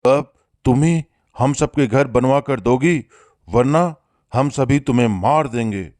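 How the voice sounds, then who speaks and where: A man's voice: mid-range voice, slow pace, a little mellow, dry recording, clean studio sound, close to an everyday voice. A man voicing a threatening bully bird in a cartoon, accusing and domineering in manner. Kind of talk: Hindi moral-story cartoon acting.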